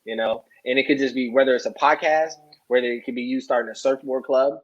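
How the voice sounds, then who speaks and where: A man with a husky, slightly rough voice talks casually as a podcast guest. He rambles earnestly, circling back and checking in often. The recording is a bit dull and lo-fi. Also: medium pitch, fairly even intonation, quick pace. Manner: rambling, earnest, repeats "you know". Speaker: interview guest, soccer player.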